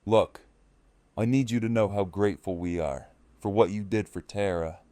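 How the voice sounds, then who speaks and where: Forceful, embittered male survivor leader played by an actor. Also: on the low side, normal speed, no room sound, lightly acted. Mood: strained gratitude. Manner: forceful, confrontational. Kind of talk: audio drama acting.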